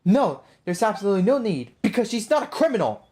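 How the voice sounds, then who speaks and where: A woman's voice: mid-range voice, very animated, fast, mellow, lightly acted. Woman reading as a dramatic, lovelorn game husband. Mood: defensive. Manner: dramatic, defensive.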